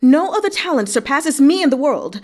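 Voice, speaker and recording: A woman's voice: sits high, very animated, moderate pace, a little mellow, pitched above the performer's own voice. A woman voicing a proud, furious old male scholar in an impassioned, haughty storybook read-aloud. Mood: haughty defiance. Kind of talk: dramatic read-aloud of a historical novel.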